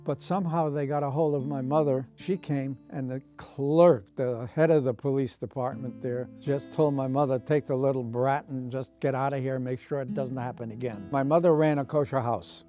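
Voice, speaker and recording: Elderly man in his nineties, dark voice. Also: mid-range voice, fairly even intonation, soft background music. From Austria. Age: 90s. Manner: unhurried storytelling with pauses. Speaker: Holocaust survivor giving testimony. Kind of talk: recorded oral history.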